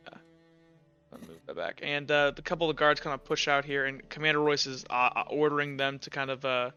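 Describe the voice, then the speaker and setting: A man narrating a tabletop game as game master in a casual, halting, conversational way. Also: a little high, sharp tone, clean tone. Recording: slightly dull, lo-fi audio. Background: music.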